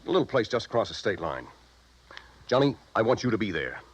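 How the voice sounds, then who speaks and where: An actor playing a worried, earnest American insurance executive in a 1950s radio drama, urgent and insistent. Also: male voice, fairly low pitch, very animated, a little fast, slight background noise, rough recording. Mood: anxious, pleading. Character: anxious, earnest male insurance company executive. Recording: vintage radio drama broadcast.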